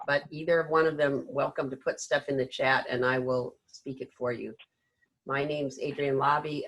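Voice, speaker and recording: A woman's voice: low voice, fairly bright tone, rough recording. Woman, meeting facilitator, informal and unscripted, addressing participants on a video call.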